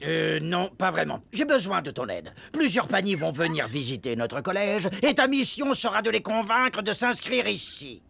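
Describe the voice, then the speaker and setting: A bossy, scheming microbe principal in a cartoon comedy. The high voice is sharp and creaky, leaping wildly in pitch as he lays down pompous rules. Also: big pitch swings, normal speed, hardly any pauses, slight background noise, exaggerated voice. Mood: coaxing, threatening. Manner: authoritarian, pompous decrees. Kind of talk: French animated comedy dub.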